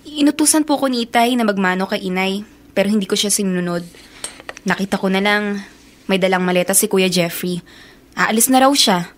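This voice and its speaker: A woman's voice: mid-range voice, a little fast, pauses often, close to an everyday voice. Woman playing a resentful young Filipino daughter. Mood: troubled. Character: resentful young daughter confiding in her grandmother. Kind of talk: Filipino radio drama acting.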